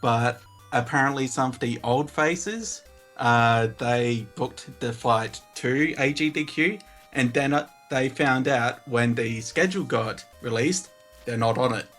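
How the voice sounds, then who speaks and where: A man with a medium-pitched voice calls in to chat. He speaks informally as a guest on a show, with soft music in the background. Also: a little slow. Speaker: call-in guest. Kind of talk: casual chat.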